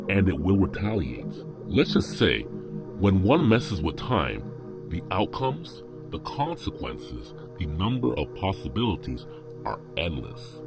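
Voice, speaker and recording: A man delivering scripted dramatic narration in a solemn manner. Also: low-pitched. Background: music.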